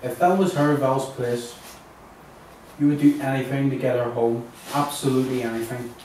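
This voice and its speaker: A man's voice: mid-range voice, steady tone, moderate pace, a little mellow, lightly acted. A man playing a worried client searching for his missing partner, in a crime thriller table read. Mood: pleading. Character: Paul Watts, worried well-groomed man seeking missing partner. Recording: very roomy, distant mic, faint noise.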